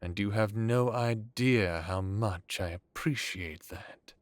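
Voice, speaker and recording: A man playing an ancient, courtly vampire lord in an audio drama, calmly authoritative, with formal, genteel phrasing. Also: fairly low pitch, slow, no echo. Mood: gracious.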